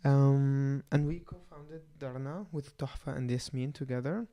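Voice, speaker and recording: Man in his twenties, medium pitch, casual conversational delivery, radio talk co-host. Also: measured pace, dark tone. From Saudi Arabia, Egyptian family. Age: 20s.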